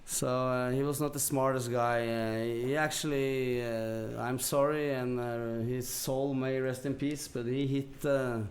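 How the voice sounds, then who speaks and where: A man from Norway with a Norwegian accent, telling a story casually and haltingly as a podcast guest. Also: mid-range voice, steady tone. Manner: halting, hesitant delivery with long pauses.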